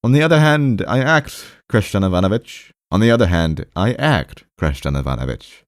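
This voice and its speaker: A man's voice: fairly low pitch, expressive, normal speed, dry recording, studio quality, pitched above the performer's own voice, lightly acted. A man voicing a timid, defensive male clerk in an audiobook, hesitant, repetitive and half-aggrieved, with a strained, raised voice. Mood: half-aggrieved, stammering. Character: timid, defensive, rambling male clerk visiting his doctor. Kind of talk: audiobook character voice.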